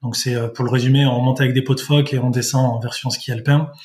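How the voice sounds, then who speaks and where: A man from the south of France, a sports dietitian speaking as an interview guest, thinking aloud with many pauses and restarts. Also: mid-range voice, little pitch movement, darkish tone, a little hiss or hum. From southern France, Vaucluse. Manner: hesitant, many fillers and restarts. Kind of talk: conversational interview answers.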